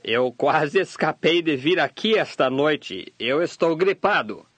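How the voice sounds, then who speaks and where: A man voicing a sermon translation, delivering it steadily and measured. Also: a little high, animated, a bit bright, slightly rough, no echo. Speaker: sermon interpreter voice-over. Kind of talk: translated sermon read aloud.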